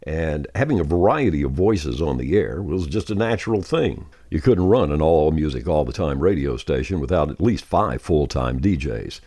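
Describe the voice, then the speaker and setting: A man's voice: very deep voice, lively intonation, normal speed, few pauses, mellow. An older man with a folksy, emphatic manner, giving conversational commentary as a radio host. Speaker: radio veteran, podcast host.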